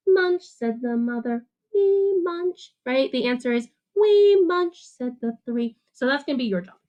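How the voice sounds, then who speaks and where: A woman teacher reading a picture book aloud to children in an animated, sing-song way, with simple, casual explanations. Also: on the high side, muffled recording.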